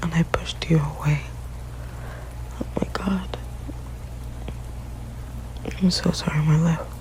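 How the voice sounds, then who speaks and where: A woman whispering softly and haltingly in an ASMR partner roleplay, often restarting her sentences. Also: low voice, fairly even intonation, background noise.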